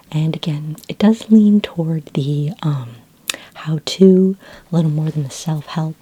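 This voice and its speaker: A woman with a low, mellow voice speaks very softly, close to a whisper, with plenty of breath in it. She plays a friendly, patient sci-fi librarian in an ASMR roleplay, guiding the listener gently and without hurry. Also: breathy edge, poor audio. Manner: gentle, unhurried, helpful customer-service patter. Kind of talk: soft-spoken ASMR roleplay. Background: computer keyboard typing.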